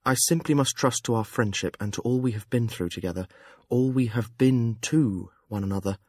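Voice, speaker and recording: A man's voice: medium pitch, moderate pace, a little mellow, no room sound, close to an everyday voice. A man narrating a storybook and voicing a lighter-toned disguised persona who sounds frightened and earnest. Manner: earnest, anxious.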